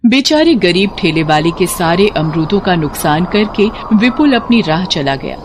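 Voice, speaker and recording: A woman narrates a scripted cartoon tale. Her pitch moves in a lively way from phrase to phrase. Clear background noise runs under the narration. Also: measured pace.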